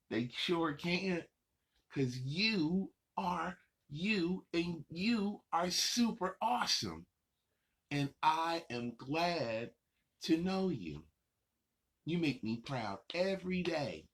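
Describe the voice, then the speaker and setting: A man hosting a children's story time, talking straight to young children in simple, repeated, encouraging phrases, with a gravelly, husky voice. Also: on the high side, very animated, extremely slow, a bit bright. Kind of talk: addressing young children directly.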